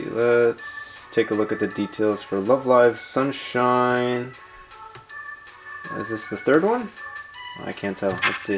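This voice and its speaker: A man hosting a casual tech show-and-tell, talking informally in rambling asides. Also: lowish voice. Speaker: tech video host.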